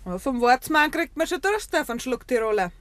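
A woman's voice: high voice, big pitch swings, brisk, no room sound. An actress playing a self-assured young woman with a Bavarian accent, matter-of-fact, in a 1950s dialect radio play.

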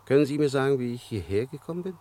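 An actor playing a bewildered gentleman back from the grave in an old German radio play, curt but polite. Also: medium pitch, expressive, rapid-fire, natural voice.

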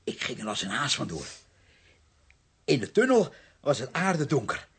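An actor playing a confused, frightened drifter in a radio play, halting and stammering over repeated words in a husky, creaky voice. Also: male voice, medium pitch, sing-song, fairly bright tone, full character voice. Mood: frightened, haunted. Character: confused, frightened male drifter confessing to a killing. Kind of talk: Dutch radio play acting.

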